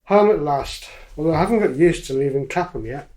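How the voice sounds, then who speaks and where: An actor in a radio drama playing a mild, supportive young man, speaking politely and in a measured way. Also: on the high side, animated, noisy background, lightly acted. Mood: curious. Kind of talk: radio drama acting, domestic dialogue.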